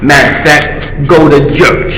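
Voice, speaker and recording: Slow, brash man in echoey space. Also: on the high side, fairly even intonation, fairly bright tone. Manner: brash, boastful, crude. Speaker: amateur rapper. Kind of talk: freestyle rap and trash talk. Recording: echoey reverberant space, faint ambient noise.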